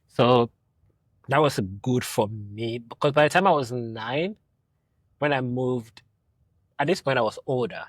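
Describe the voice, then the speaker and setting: A young man from Ghana hosting a casual interview, relaxed and rambling, laughing often. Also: mid-range voice, a little slow, long pauses, no room sound. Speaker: podcast host.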